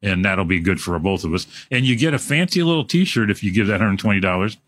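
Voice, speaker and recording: A man's voice: on the low side, animated, fast, a bit bright, dry recording. A man, hosting a live radio fundraiser in a casual, joking way full of fillers. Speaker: radio host.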